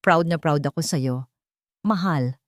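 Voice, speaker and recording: A tender husband and father , as a woman narrator plays him in an audio romance. He is soft and reassuring, full of affection and support, recorded dry and close. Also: sits low, slow pace, no echo. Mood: proud.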